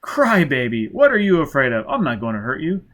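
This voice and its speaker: A man's voice: fairly high pitch, wildly swooping pitch, fairly quick, a bit bright. A man voicing a driven, half-hysterical fugitive in an audiobook, insistent and mocking as he speaks. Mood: coaxing, impatient. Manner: chanting, insistent.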